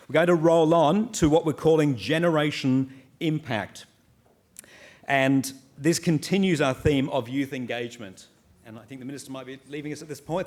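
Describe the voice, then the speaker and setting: A man hosting a conference on stage, introducing speakers in an informal, live presenting style. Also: medium pitch.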